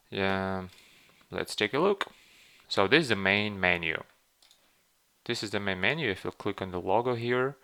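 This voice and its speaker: A low-pitched, gravelly man with a Ukrainian accent guides viewers through a website step by step. His tone is bright and crisp. He hesitates often, filling gaps and restarting his phrases. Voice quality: hesitant, many fillers and restarts. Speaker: channel host. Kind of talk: screen-walkthrough tutorial.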